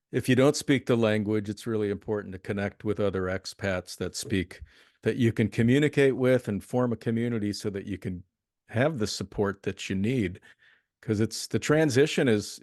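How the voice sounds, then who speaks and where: A man hosting an interview, affirming his guest and asking questions in a steady, agreeable way. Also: lowish voice, no echo, clean studio sound. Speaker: interview host. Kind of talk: casual conversational interview.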